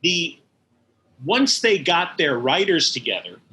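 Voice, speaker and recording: Bright-voiced man reminiscing, a bit high. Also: very animated, slow, slight background noise. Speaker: interview guest, animation studio veteran. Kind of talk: conversational recollection.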